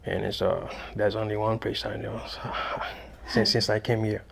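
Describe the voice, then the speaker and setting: A man's voice: on the low side, flat delivery, normal speed, gravelly, a little hiss or hum. A man from Haiti, a guest answering interview questions hesitantly with many fillers. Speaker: interview guest, adult English student.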